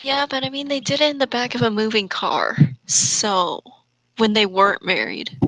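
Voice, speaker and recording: A woman's voice: fairly high pitch, moderate pace, fairly bright tone, no room sound, low-quality recording. A woman co-hosting a casual fanfiction reading, switching between reading aloud and chatting. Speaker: co-host.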